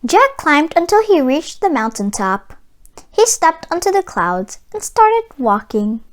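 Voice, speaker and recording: Woman, children's read-aloud narration, high-pitched, lively intonation, expressive, storybook reader. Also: unhurried.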